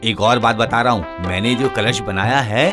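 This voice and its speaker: A man playing a humble, plain-spoken potter and long-suffering husband in an animated story. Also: on the low side, normal speed, over music, lightly acted. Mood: proud, lecturing. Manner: plain-spoken, scolding wife, meek to superiors. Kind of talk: animated moral story acting.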